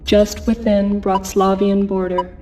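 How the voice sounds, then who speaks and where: A cool military briefing announcer voiced by a woman reads terse game mission orders in a flat, mellow tone. She sounds set back from the mic, with music playing. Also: mid-range voice, a little slow, natural voice. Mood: detached, official. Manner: terse, impersonal, official. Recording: distant voice under music.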